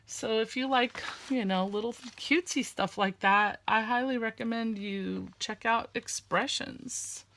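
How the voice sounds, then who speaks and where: A woman with a slight lisp and a loud, carrying voice, chatting casually and rambling a bit while she works on a craft project at home. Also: fairly high pitch, unhurried, hardly any pauses. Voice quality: loud voice that carries. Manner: chatty, rambling, with frequent fillers. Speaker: crafting vlogger.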